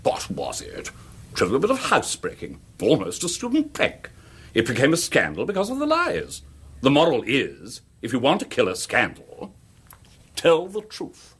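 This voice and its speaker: A man's voice: medium pitch, wildly swooping pitch, moderate pace, fairly bright tone, slightly rough, full character voice. A man playing an urbane, self-assured middle-aged English college principal in a radio drama, with a suave, donnish, rhetorical manner. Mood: suave, pontificating.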